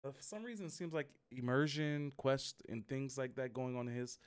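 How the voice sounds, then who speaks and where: A man's voice: mid-range voice, moderate pace, clean tone. A man chatting informally and in a rambling way to viewers as he streams a game. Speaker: live streamer. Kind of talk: casual gaming livestream chat.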